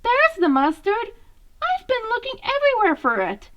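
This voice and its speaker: A woman voicing an excitable, eager young teenage male cartoon turtle in a story reading. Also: very high voice, moderate pace, muffled recording, voice pushed up, heavily acted. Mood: excited. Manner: eager, exclaiming.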